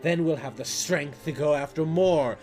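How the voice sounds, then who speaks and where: A man voicing a menacing, scheming mosquito queen from a rhyming storybook, speaking in a slow, drawn-out way over music. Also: fairly high pitch, darkish tone, lightly acted. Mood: gloating, power-hungry. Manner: slow, drawn-out, plotting delivery. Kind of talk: storybook rhyme character voice.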